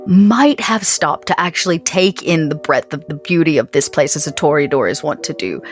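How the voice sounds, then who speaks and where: A woman's voice: low-pitched, animated, normal speed, faint music behind. A woman playing a tabletop roleplaying game, chatting casually about what her character does.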